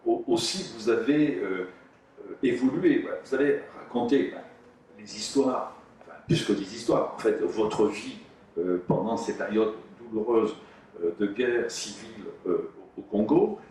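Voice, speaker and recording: Halting, meandering male interviewer, echoey. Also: fairly low pitch, darkish tone. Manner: meandering, halting questions with many restarts. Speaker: interviewer host. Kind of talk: radio interview questions. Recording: echoey room, distant mic, faint noise.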